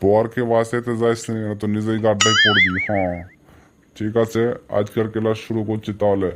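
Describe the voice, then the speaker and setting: A man's voice: on the low side, little pitch movement, normal speed, very noisy, natural voice. A man voicing a strict, sarcastic village schoolteacher in a comedy cartoon, scolding in colloquial speech with a rural West Bengal accent.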